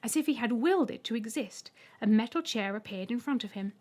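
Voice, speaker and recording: A woman's voice: a little high, animated, brisk, darkish tone. A woman reading a scripted short story aloud as an audiobook narrator.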